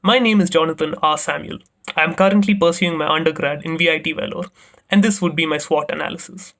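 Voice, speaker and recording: Young guy from india reading his class presentation, high voice, formal and rehearsed. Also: steady tone, fast talker, gravelly, a bit bright. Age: young adult. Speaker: student.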